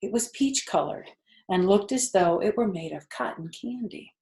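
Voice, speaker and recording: An older woman reading aloud to children as a storyteller, gentle and expressive, with friendly asides. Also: mid-range voice, moderate pace, vocal fry.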